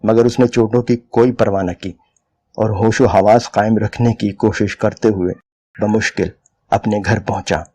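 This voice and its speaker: A man's voice: on the low side, fairly even intonation, unhurried, warm tone. A man reading a literary short story aloud as dramatic scripted narration. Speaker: dramatic reader of short stories.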